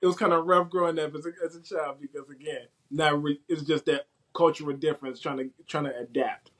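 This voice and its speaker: A man's voice: fairly high pitch, fairly even intonation, brisk. Easygoing informal man chatting. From West Africa (Liberia), later the US from age eleven. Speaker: podcast guest, IT systems administrator. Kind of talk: casual interview chat.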